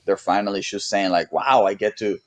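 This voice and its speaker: A Mexican-accented man in his thirties talks in an easy, meandering way, stopping and restarting his sentences as he goes. His voice sits low. The sound is close and dry, with no room around it. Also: fairly quick, dry recording. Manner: relaxed, rambling with many restarts and "you know". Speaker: guest, pro paddle racer. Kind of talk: casual interview chat.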